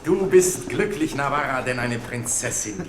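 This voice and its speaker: A man's voice: medium pitch, animated, a little slow, noisy background, close to an everyday voice. An actor playing a capricious, gleeful young king in a radio play, declamatory and mocking. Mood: gleefully taunting. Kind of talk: 1970s radio play acting. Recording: echoey, reverberant radio drama room.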